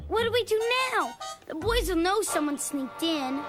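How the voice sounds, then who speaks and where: A woman's voice: very high voice, lively intonation, normal speed. A woman voicing an eager little girl in a cartoon. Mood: chirpy. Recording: cartoon soundtrack with background music.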